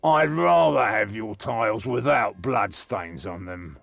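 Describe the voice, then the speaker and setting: Gravelly, menacing bandit boss. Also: male voice, on the low side, lively intonation, slow, fairly bright tone, dry recording, faint music behind, exaggerated voice. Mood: cold, threatening. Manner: taunting. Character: menacing, mocking male bandit leader. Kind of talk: scripted game cutscene acting.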